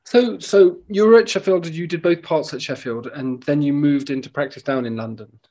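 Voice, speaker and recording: A man's voice: on the high side, moderate pace, dark tone. A man hosting an interview, asking inquisitive questions with stumbling restarts. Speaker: podcast host and educator.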